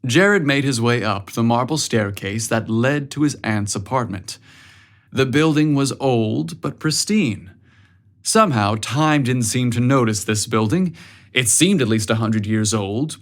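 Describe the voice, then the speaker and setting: A man narrates a scripted piece of fiction. His voice sits at a middle pitch, and he delivers the prose as an audiobook narrator would.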